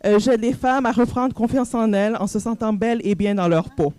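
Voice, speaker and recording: A woman's voice: on the high side, fairly even intonation, brisk, mellow. Quebec-accented woman giving an informal talk. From Montreal, Canada. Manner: conversational, uses rhetorical questions. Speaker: personal image coach and speaker. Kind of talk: informal motivational talk on stage.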